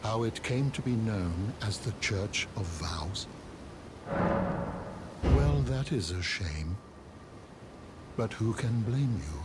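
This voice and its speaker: A man playing an aged, wise turtle cleric in a fantasy game, with a courteous, measured, gently formal manner. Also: fairly low pitch, animated. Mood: courteous welcome. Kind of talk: theatrical fantasy game acting. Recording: clear music under the voice.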